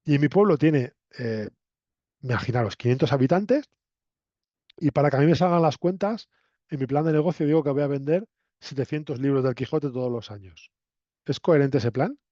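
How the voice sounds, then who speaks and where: Mellow-voiced Castilian Spanish man lecturing, echoey room. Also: medium pitch, expressive, normal speed. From Spain, La Mancha. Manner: didactic, conversational, frequent checking tag questions. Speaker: university lecturer. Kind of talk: interactive lecture to students. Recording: roomy echo, dull lo-fi sound.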